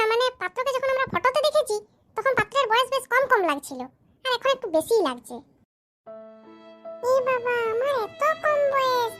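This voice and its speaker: Excitable comedy cartoon villager, extremely high pitch-shifted voice, colloquial and complaining. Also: male voice, heavily acted. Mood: indignant. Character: squeaky, excitable cartoon villager at a matchmaking visit. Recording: clearly noisy audio with clicks.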